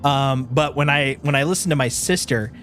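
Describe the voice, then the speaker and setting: A man's voice: mid-range voice, normal speed, faint music behind. A game streamer from Wisconsin, a man with a played-up Upper Midwest accent and a slightly nasal voice, chatting casually and joking often with folksy exclamations. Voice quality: a little nasal. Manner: joking and animated, laughs often, slips into exaggerated folksy exclamations. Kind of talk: casual live-stream chat.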